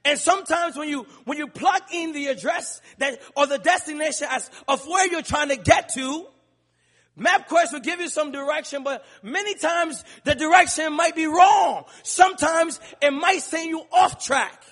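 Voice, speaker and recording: A man from Liberia preaching a sermon in a large church, calling to the congregation and rising into shouts. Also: very high-pitched, normal speed. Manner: rhythmic call-and-response preaching, building to shouts. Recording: long church reverb.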